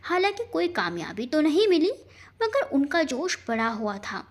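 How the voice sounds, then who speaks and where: A woman with a high voice narrates a scripted story. Her reading is expressive, in the manner of an audio storybook. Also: moderate pace.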